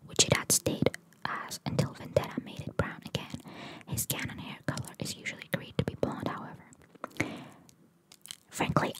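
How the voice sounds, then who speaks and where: A woman hosting ASMR, reading trivia in a breathy whisper with chatty, informal, opinionated asides. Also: rough recording. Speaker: ASMR host.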